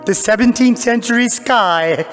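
An older man narrating a stage pantomime from a script, with dramatic, deliberate phrasing and weighty pauses. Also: very high voice, over music. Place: large church hall stage before a seated audience. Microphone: lectern microphone.

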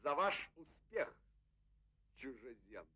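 A man's voice: mid-range voice, sing-song, slow. An actor playing a proud, cunning king of legend in an old Soviet cartoon, grand and mocking in delivery. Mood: mocking, commanding. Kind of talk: theatrical Soviet cartoon acting. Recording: dull vintage cartoon soundtrack.

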